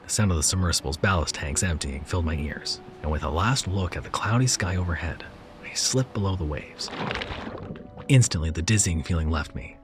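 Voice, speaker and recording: A man with a very deep voice narrates a first-person horror tale. He reads slowly and quietly, sometimes sinking into a whisper, while soft music plays underneath.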